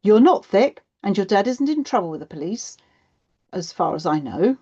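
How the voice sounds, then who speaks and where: Audiobook role: tired, worried single mum, played by a woman narrator, blunt and firm, mellow tone, slightly muffled sound. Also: lowish voice, sing-song, moderate pace, slightly rough. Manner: sighing, blunt, matter-of-fact. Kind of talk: acted children's audiobook dialogue.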